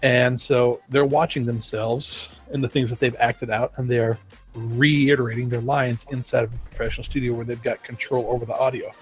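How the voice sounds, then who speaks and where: A man's voice: medium pitch, steady tone, normal speed, breathy edge. A man chats on a studio live stream, explaining his points at length in a casual way. Faint music plays underneath. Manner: talkative, explains at length with acted-out quotes. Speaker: game studio team member on stream.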